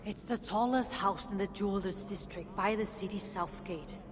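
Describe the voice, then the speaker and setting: A woman's voice: mid-range voice, moderate pace, lightly acted. A weary, embittered dwarf leader, played by a woman, speaks from a long, echoing hall with noise behind her. She is guarded and sardonic, with the solemn delivery of a dramatic fantasy game. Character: weary, bitter female dwarf leader of a refugee shelter. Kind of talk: dramatic video game voice acting. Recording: long reverberant hall, noisy ambience.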